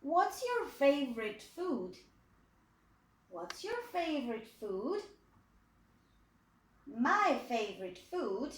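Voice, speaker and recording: A woman in her twenties from Poland, teaching English to young children in a video lesson, repeating each phrase slowly for them to copy. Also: fairly high pitch, a bit bright, roomy sound. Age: 20s. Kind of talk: slow, clearly spoken video lesson for kids.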